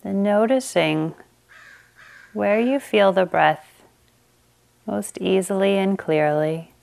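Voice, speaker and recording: A woman meditation teacher leading a guided meditation, speaking gently with long pauses. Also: medium pitch, creaky.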